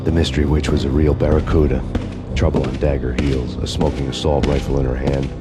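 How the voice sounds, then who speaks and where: An actor playing a brooding, vengeful noir cop who narrates in a deadpan, weary voice for a video game. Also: male voice, very deep voice, brisk, loud music behind the voice. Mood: grim, weary.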